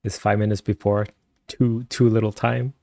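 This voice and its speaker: A man chatting casually with viewers on a live stream, speaking softly and keeping his voice down at night at home, and laughing often. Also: level tone, moderate pace, gravelly, no echo, clean studio sound. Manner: soft-spoken, keeps voice low at night.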